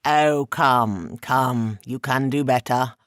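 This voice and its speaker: A man voicing a mischievous male trickster spirit with a halting, overpronounced accent, loud and taunting. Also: medium pitch, smooth, no echo, studio quality.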